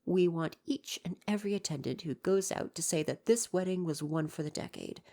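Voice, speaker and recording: A man's voice: fairly high pitch, brisk, creaky, dark tone. A man playing a devoted, proper royal butler in an ASMR roleplay, speaking to the listener in a formal, deferential, fussily attentive way. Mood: dutiful, fussy.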